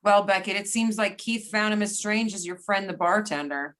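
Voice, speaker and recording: A woman's voice: medium pitch, moderate pace, a bit bright, natural voice. A woman playing a polite, inquisitive investigator in an amateur readers theater. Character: polite female investigator interviewing witnesses. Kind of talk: amateur readers theater reading over videoconference.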